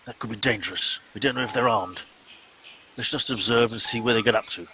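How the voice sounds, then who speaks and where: A man's voice: lowish voice, fairly quick, bright, forceful tone, a little hiss or hum. A man playing a pompous, officious and easily exasperated captain in a comic radio play. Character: pompous, impatient male captain of bumbling crew.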